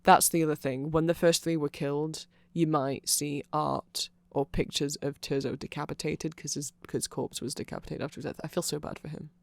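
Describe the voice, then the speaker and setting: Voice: medium pitch, level tone, moderate pace, vocal fry, few pauses, dry recording, lightly acted. A nerdy vampire played by an ASMR performer explains things in an eager, self-conscious ramble. The voice sits in a middle register and keeps wandering off on tangents and apologizing. The sound is close and dry, with very little room to it. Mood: eager, chatty.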